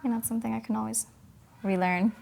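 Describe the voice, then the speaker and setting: An American woman from Kentucky hosts a casual podcast interview in a fairly high voice with plenty of gravelly fry. Spanish was her first language, and she keeps the talk chatty and informal. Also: steady tone, quick pace, a little mellow, a little hiss or hum. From Kentucky, United States. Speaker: podcast host.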